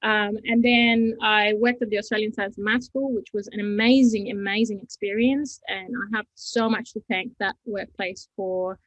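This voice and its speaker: A woman's voice: medium pitch, steady tone, moderate pace, few pauses, no room sound, poor audio. A woman in her thirties from Costa Rica with a Costa Rican Spanish accent, earnest and full of fillers as an interview guest. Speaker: educator and business founder.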